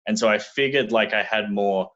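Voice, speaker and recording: Low-voiced Australian man on a lo-fi line. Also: moderate pace, a bit bright. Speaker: guest. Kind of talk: casual interview talk.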